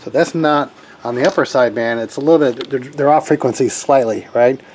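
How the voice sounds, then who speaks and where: A man's voice: mid-range voice, fairly quick, darkish tone, a little hiss or hum. A man giving a casual hands-on tech demo as a hobbyist, talking informally and rambling as he thinks aloud. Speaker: hobbyist radio operator demonstrating equipment.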